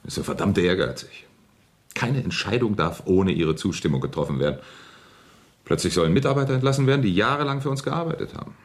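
Low-voiced, sardonic company executive. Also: male voice, animated, brisk. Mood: indignant. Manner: sardonic, exasperated, blunt. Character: embittered, cynical deputy factory director deep in trouble. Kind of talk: German radio drama acting.

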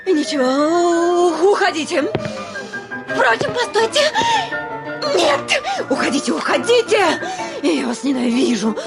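A proud young widow in a classic radio comedy, very high and swooping, with drawn-out, imperious delivery. She is fiery and melodramatic, and music plays loudly behind her. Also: big pitch swings, full character voice. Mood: torn, agitated. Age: young adult. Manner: imperious, melodramatic, abrupt commands. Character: proud, furious, wavering young widowed lady landowner. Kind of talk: theatrical Russian classic radio play acting. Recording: studio radio drama with loud music.

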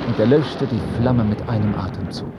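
A man narrating a literary sea story for an audio drama in a measured storytelling manner. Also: lowish voice, very noisy.

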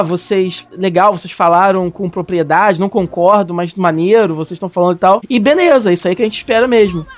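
High-pitched guy rambling on a podcast, faint music under it. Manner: rambling, lots of restarts and fillers. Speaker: podcast co-host. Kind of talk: casual chat.

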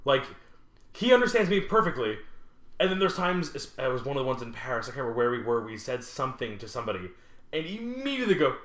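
Male podcast co-host, crisp bright tone, lively storyteller, roomy recording. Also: medium pitch, quick pace. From Montreal. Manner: animated storyteller, swears casually. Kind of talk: casual chat.

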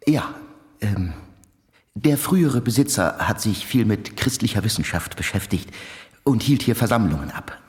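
Husky, uneasy doctor. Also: male voice, on the low side, expressive, normal speed. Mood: uneasy, evasive. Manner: hesitant, guarded. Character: evasive, uneasy male doctor under questioning. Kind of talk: radio play acting.

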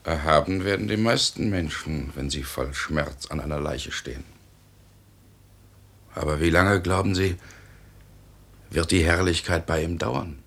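An actor playing a blunt, cynical doctor, grave and sardonic, with a gravelly voice in a 1950s radio drama. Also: male voice, a bass voice, measured pace, pauses often, a bit bright, exaggerated voice.

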